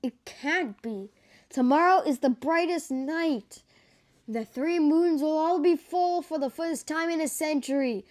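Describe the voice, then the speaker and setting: A grim, insistent sea dragon played by a young boy pleads his case in a bedtime storybook. The voice is high and youthful, earnest and determined. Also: a little slow. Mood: grave. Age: child. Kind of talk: bedtime storybook read-aloud.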